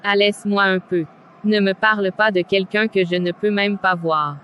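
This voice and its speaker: A performer playing a pushy, dismissive young woman headed out partying, in a scripted animated drama. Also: medium pitch, normal speed, muffled recording, close to an everyday voice. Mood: scornful. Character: brash young female party-goer, mocking, pushy. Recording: clearly noisy background.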